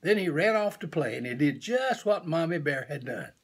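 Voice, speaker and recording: A man in his seventies reading a children's picture book aloud expressively in a small room at home. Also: fairly high pitch. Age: 70s. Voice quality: gravelly, husky. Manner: slow, deliberate, animated.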